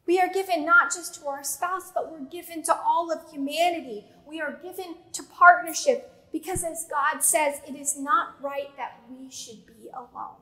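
A woman in her thirties with a high, light voice preaches from the pulpit of a church. She speaks in a measured way, slowing down and pausing to stress key words. Her voice is picked up by a pulpit mic. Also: a bit bright, rough recording. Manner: measured preaching with slow emphatic pauses and gestures. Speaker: pastor. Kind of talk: sermon. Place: church sanctuary with pipe organ.

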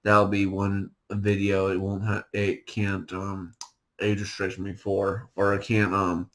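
A young man giving casual reaction commentary in an amused, halting way, often laughing through his words. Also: sits low, monotone. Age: young adult. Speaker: reaction streamer. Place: small bedroom, indoors.

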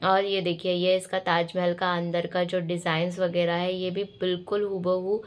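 A young woman, hoarse from a cold, chatting to viewers in a casual vlog voice-over. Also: on the low side, little pitch movement, normal speed. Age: young adult. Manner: chatty, addressing viewers directly. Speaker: vlogger and dance choreographer.

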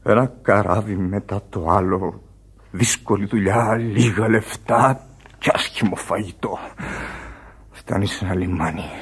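An actor playing a weary, feverish dying sailor in a Greek radio drama, halting and drifting toward whispers, full of regret. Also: male voice, low voice, fairly bright tone, slightly rough, heavily acted. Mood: wistful, bitter. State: weak, in pain. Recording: radio play with music underneath. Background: music.

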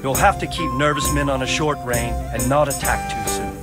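An actor playing a stern, shrewd older nobleman and commander in a game, speaking with measured authority. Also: mid-range voice, close to an everyday voice. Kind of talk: dramatic game cutscene acting. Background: background music.

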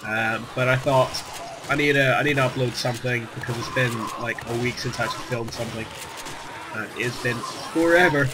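A man's voice: medium pitch, brisk, very noisy. A young man, rambling in casual gameplay commentary while still getting over an illness, full of fillers and restarts. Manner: rambling, self-deprecating, many fillers and restarts. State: recovering from a virus, lost his voice. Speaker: gaming YouTuber.

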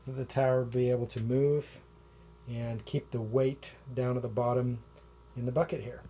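Middle-aged man, laid-back vlog explainer, basement room on a camera mic with faint hum. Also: medium pitch. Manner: relaxed, plain explaining with fillers. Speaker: vlogger. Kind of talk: casual show-and-tell walkthrough. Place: small basement utility room.

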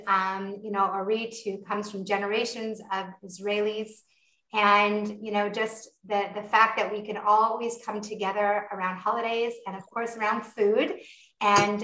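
A woman's voice: fairly high pitch, bright, forceful tone. A Canadian woman chef hosting a live online cooking class, enthusiastic and welcoming, chatty with many fillers. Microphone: video call. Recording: echoey room over a video call.

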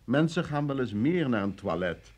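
An actor playing a flustered, indignant man under questioning in a radio drama, halting, defensive and husky. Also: medium pitch, big pitch swings, darkish tone. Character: flustered, indignant male traveller under interrogation.

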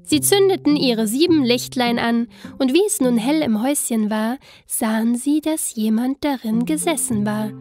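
A woman's voice: fairly high pitch, moderate pace, few pauses, over music. A woman narrating a scripted fairy tale expressively, with careful storytelling phrasing.

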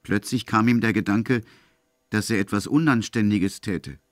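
A man reads literary narration for an audio drama. His voice is on the low side, and the recording is dry, with very little room around it. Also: normal speed, dry recording.

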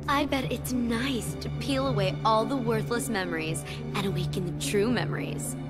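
A woman's voice: a little high, normal speed, over loud music, natural voice. A woman with an American accent playing a mocking, sadistic young villain, taunting and mock-sweet, in a game. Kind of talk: video game cutscene acting.

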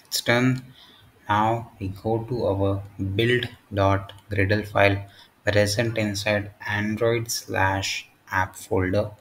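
A man narrating a step-by-step software tutorial in short, halting phrases. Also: lowish voice, little pitch movement, slight background noise, rough recording. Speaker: tutorial presenter.

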